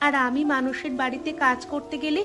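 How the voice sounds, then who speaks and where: Woman playing a high-voiced, outspoken cartoon wife. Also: little pitch movement, fast talker, sharp tone. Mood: exasperated. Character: poor, outspoken, hardworking young wife. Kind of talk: cartoon story voice acting.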